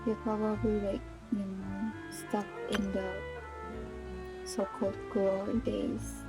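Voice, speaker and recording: A woman game streamer musing casually and haltingly on a live stream. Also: mid-range voice, flat delivery. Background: loud game music.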